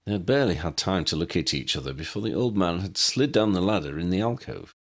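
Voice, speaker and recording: A man's voice: sits low, lively intonation, fast talker. A man narrating a story as an audiobook reader, expressively reading scripted fiction.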